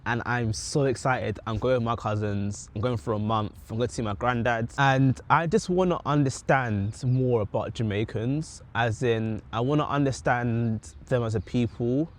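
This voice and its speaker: A man's voice: mid-range voice, moderate pace, hardly any pauses. A young man with a British accent reflecting on his goals in a casual, rambling vlog, often correcting himself mid-sentence. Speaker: vlogger. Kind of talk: casual talking-head vlog.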